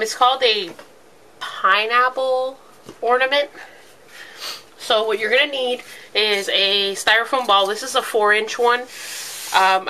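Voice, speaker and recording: A woman with a head cold talks through a home craft tutorial, her congested voice still bright and clear in tone. She rambles casually with frequent ums as she works with her hands. A faint hiss sits under the home recording. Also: mid-range voice, low-quality recording. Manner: chatty, lots of ums, talks while working with her hands. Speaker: craft video host.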